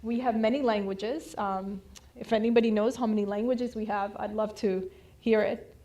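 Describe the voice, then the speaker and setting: A woman's voice: mid-range voice, steady tone, moderate pace, dark tone. A woman in her thirties from India, with Hindi as her first language, giving an informal slideshow talk at a community center, chatty and full of fillers and restarts. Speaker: community presenter.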